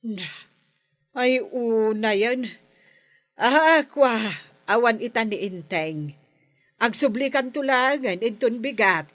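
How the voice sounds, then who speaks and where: Actress as a bright-voiced nagging Ilocano wife. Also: female voice, fairly high pitch, lively intonation, slow pace, long pauses, no echo. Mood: curious. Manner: nagging, exclaiming. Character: scolding, suspicious barangay captain's wife, quick to threaten. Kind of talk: Ilocano comedy radio drama acting.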